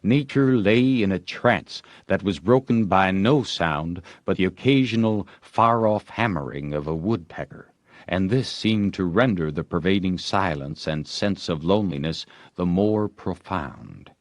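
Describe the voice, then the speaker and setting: A man narrating a classic story as an audiobook, with expressive, theatrical storytelling. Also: low voice, a little slow, no echo. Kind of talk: dramatic literary audiobook narration.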